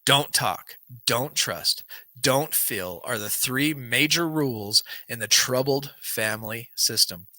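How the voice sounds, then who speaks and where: A man's voice: medium pitch, slow, bright, forceful tone, dry recording. A man hosting a podcast, reading aloud and chatting earnestly, his voice worn and dry. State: losing his voice, dry throat. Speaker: podcast host. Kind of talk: reading a book aloud, then casual chat. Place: small home studio with banner backdrop. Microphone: large studio mic on boom arm.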